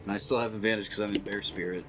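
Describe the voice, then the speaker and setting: A man's voice: fairly low pitch, flat delivery, racing, heavy background noise. A man chatting casually as a player in a tabletop roleplaying game, informal and thinking aloud as he goes.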